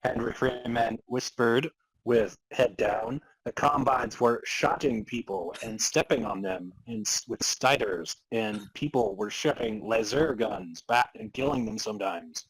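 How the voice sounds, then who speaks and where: A man with a mid-pitched, somewhat husky voice co-hosts a comedy podcast. He reads and chats in an amused, casual way, as if barely keeping a straight face. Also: animated, measured pace, low-quality recording. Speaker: podcast co-host. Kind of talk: dramatic reading of fan fiction and casual banter.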